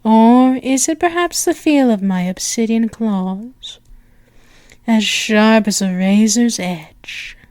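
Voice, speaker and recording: A woman playing an imperious demon princess in an intimate ASMR roleplay, teasing and tender, with regal pauses and breathy murmurs. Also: fairly high pitch, darkish tone, no room sound. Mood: flirtatious, smitten. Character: imperious, seductive demon princess, amused and tender toward servant. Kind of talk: ASMR roleplay, intimate one-sided dialogue.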